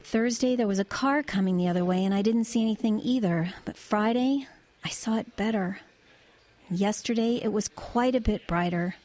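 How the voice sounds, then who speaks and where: An actress plays a woman who drives for a living and tells her eerie story in a radio play. Her voice sits in a middle register. She is wry and understated, pausing as she thinks. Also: mid-range voice, darkish tone, close to an everyday voice. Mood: matter-of-fact. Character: wry, low-key woman delivery driver recounting eerie sightings. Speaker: lead actress and narrator. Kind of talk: audio drama narration and dialogue.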